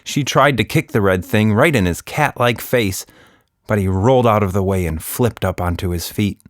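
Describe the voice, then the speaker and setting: A man's voice: lowish voice, normal speed, no echo, clean studio sound. A man narrating a scripted children's story as a podcast storyteller.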